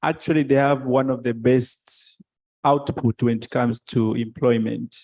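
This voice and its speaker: A man's voice: medium pitch, warm tone. Guy chiming in at a conference, pretty informal, lots of ums. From Tanzania. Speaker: conference participant.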